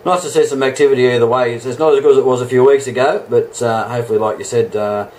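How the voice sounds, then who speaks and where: A man's voice: medium pitch, fairly even intonation, quick pace, darkish tone. An Australian man chatting casually as an amateur radio operator, with a matey, laid-back way of talking. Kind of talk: casual two-way radio chat.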